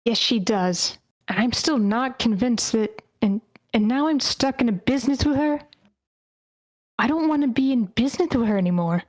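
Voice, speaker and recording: A woman's voice: fairly high pitch, expressive, normal speed, a little mellow. A woman playing a jealous, suspicious, brooding wife in a screenplay table read. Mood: jealous, cold.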